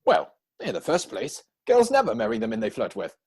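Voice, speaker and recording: A man acting a witty, idle young gentleman from a period comedy. His voice is slightly husky and swings widely in pitch, with arch, playful self-satisfaction in a theatrical reading. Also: huge pitch swings, a little fast, full character voice. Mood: witty, pompous. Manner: mannered, arch, theatrical delivery.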